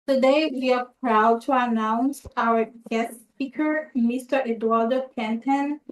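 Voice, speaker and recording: A woman's voice: on the high side, steady tone, low-quality recording. A young woman hosting a youth podcast, reading scripted lines with frequent stumbles and restarts. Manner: halting reading with stumbles over words. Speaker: youth leader host.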